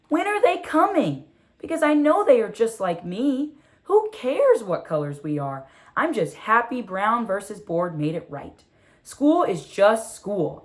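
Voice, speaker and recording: Woman reading as an unhurried, wondering six-year-old girl. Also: medium pitch. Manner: expressive, wondering, asks rhetorical questions. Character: six-year-old girl, wide-eyed, curious, proud storyteller. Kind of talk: first-person children's storybook read aloud.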